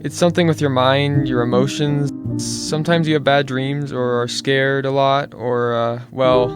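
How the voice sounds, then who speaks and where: A young male actor playing a matter-of-fact school friend who explains things, in an audio drama with loud music behind him. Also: mid-range voice, little pitch movement, normal speed, natural voice. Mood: thoughtful.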